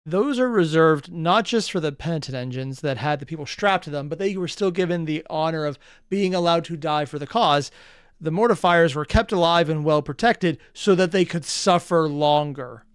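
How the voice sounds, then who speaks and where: Clear, higher-voiced man giving casual commentary. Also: normal speed, no room sound, studio quality. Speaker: reaction video host.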